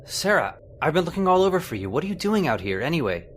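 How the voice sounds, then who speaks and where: Man acting as protective skeptical husband, radio drama, highly animated, fretful, faint background noise. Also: quick pace. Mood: anxious. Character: worried, skeptical husband protective of his wife. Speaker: voice actor. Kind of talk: old-time radio horror acting.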